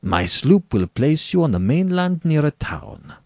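A man's voice: on the high side, very animated, measured pace, darkish tone, no room sound, clean studio sound, pitched above the performer's own voice. A man playing a suave, condescending aristocratic general, wildly swooping. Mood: gleeful, challenging. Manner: courtly, condescending, leisurely and theatrical. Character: suave aristocratic Cossack general, cruel hunter, falsely courteous. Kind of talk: dramatic storybook reading.

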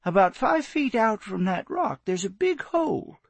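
A man's voice: high voice, big pitch swings, normal speed, creaky, breathy edge, no echo, voice pushed up, exaggerated voice. A man voicing an elderly, polite, grieving woman in a genteel, plaintive manner, in an audiobook story. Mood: wistful. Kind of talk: audiobook character voice.

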